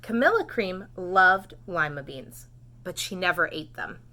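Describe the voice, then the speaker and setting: A woman teacher reading a storybook to preschoolers, expressive and dramatic, pausing for simple questions and explanations. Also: fairly low pitch. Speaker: preschool read-aloud teacher. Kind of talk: children's storybook narration with asides and questions.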